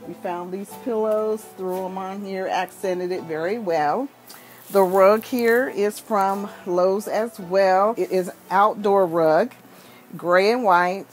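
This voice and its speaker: A woman in her thirties walking through her house, cheerfully showing off the decor. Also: on the low side. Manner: upbeat, gushing over decor. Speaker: vlogger giving a home tour. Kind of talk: casual home tour narration. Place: inside a house, walking room to room.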